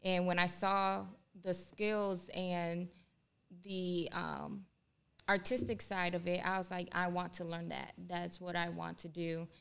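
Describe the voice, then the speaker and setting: Young woman from Ohio, Spanish first language, flat even delivery, very clear voice, hesitant with fillers. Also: lowish voice, takes it slowly. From Ohio, USA. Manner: hesitant, many "um"s. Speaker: guest, army culinary instructor. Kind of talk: casual interview. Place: small studio room. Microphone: desk mic on boom, headphones.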